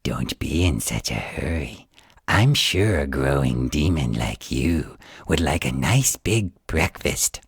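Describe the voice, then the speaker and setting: A man's voice: extremely low pitch, big pitch swings, slow, husky, dry recording, clean studio sound, heavily acted. A man voicing a fussy, flustered female ogre in a storybook, with fretful, motherly phrasing. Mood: coaxing. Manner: fretful exclamations, coaxing and motherly. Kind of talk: storybook character voice.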